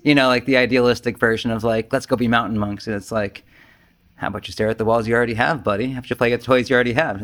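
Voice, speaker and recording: A man giving an informal, rambling Zen talk as a retreat teacher, conversational, animated and self-deprecating. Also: medium pitch.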